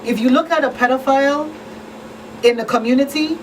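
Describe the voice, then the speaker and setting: A woman's voice: high-pitched, a little fast. A woman speaking as a talk show host in an emphatic, explanatory, conversational way. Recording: distant mic, clear background noise.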